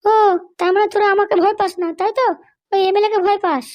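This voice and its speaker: Woman voicing a squeaky, haughty cartoon lady boss. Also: fairly even intonation, quick pace, darkish tone. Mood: indignant, threatening. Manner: bossy, indignant, scolding. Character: haughty, threatening female political boss held in jail. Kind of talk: Bengali comedy cartoon dialogue.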